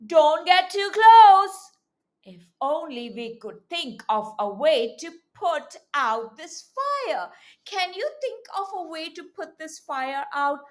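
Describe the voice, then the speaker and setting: Female kids' storybook reader: high, very expressive voice, interactive teacher delivery with coaxing questions. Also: slow pace. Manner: animated, exclaiming, with coaxing questions and repetition. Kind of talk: interactive read-aloud for young children.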